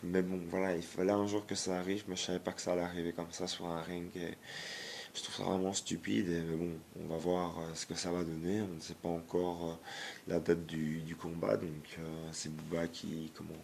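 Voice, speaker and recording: A man, hoarse from a cold, giving a blunt, halting vlog rant full of fillers and restarts. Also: deep voice, little pitch movement, darkish tone, slight background noise. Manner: hesitant, many fillers and restarts; blunt, disapproving. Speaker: YouTuber.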